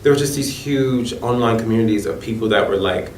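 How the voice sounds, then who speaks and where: A man's voice: fairly low pitch, fairly quick. A young man from North Carolina, reflective and full of "like" fillers, answering documentary interview questions. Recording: roomy, distant mic, faint noise.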